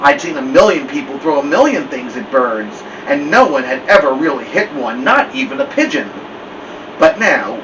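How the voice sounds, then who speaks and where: A man reading a novel aloud as first-person storybook narration. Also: mid-range voice. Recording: dull, distant mic with loud air-conditioner hum.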